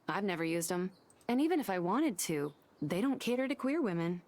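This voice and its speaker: A woman's voice: on the low side, big pitch swings, brisk, a little mellow. A woman playing a matter-of-fact, skeptical townswoman in a video game. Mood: frank, skeptical.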